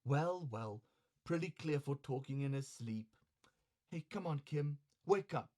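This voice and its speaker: A man voicing a wily woman courtesan in a comic story, soft-spoken, coaxing and chiding with reproach. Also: a little high, lively intonation, measured pace.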